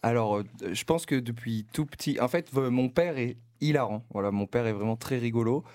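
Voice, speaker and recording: A young man talks as a guest on a relaxed chat show. He is chatty and laughs easily, trailing off into hesitations as he goes. His voice sits at an ordinary middle pitch. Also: brisk. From southeastern France, Var. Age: 20s. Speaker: guest comedian. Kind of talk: casual interview chat.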